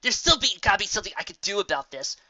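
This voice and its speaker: A woman's voice: mid-range voice, big pitch swings, very fast, bright, forceful tone, lo-fi sound, slight background noise, pitched above the performer's own voice. A woman voicing a temperamental pegasus mare in a storybook reading, exasperated and emphatic. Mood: indignant. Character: temperamental, fed-up pegasus mare, earnest and outraged.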